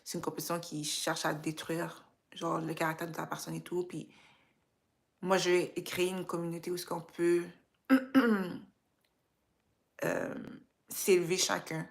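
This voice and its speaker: A woman's voice: lowish voice, monotone, measured pace, vocal fry, long pauses. A woman with a Quebec French accent touched by Creole and English, chatting informally and motivationally as a live stream host, dropping in English slang. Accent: Quebec French with some Creole and English influence. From Quebec, Canada. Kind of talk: casual motivational live chat.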